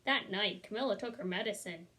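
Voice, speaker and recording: A woman's voice: mid-range voice, a little fast, pushed and bright. A woman reading a children's storybook aloud expressively, with little asides to the listener.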